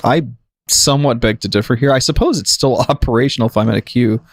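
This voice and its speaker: A man's voice: mid-range voice, fast talker, no echo, clean studio sound. A man hosting a gaming livestream, thinking aloud in an analytical, jokey way with casual commentary. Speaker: livestream gamer, host.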